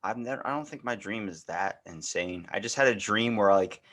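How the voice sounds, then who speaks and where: A man's voice: fairly low pitch, normal speed, a bit bright. Rambling American man chatting casually. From United States. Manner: rambling storyteller, acting out quoted exchanges. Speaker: podcast host. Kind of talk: casual conversational chat.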